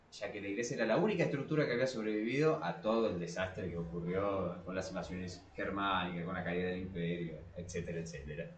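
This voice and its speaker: A man with an Argentine Spanish accent in a casual podcast discussion, speaking haltingly and repeating words as he thinks aloud. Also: fairly low pitch, slight background noise. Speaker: podcast co-host. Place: small cramped room below a staircase. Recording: roomy echo of a small room.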